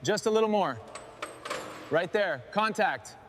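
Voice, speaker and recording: A man with a notably high voice speaks as part of a work crew. His phrases are short and businesslike, confirming steps and giving instructions. There is a light layer of noise behind him. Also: expressive, a little slow. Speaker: workshop crew member. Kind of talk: short procedural work call-outs.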